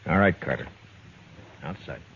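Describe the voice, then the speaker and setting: A man's voice: extremely low pitch, measured pace, slightly rough, heavily acted. An actor playing a tough, laconic lawman of the Old West in a 1950s radio western, with a terse, blunt, questioning way of talking. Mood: threatening. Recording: dull, noisy old radio recording.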